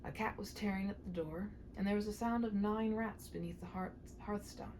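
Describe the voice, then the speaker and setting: A woman narrating a classic story aloud with theatrical expression, often dropping into a hushed tone. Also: mid-range voice, fairly even intonation, normal speed, background noise. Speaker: amateur audiobook narrator. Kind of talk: dramatic storybook read-aloud.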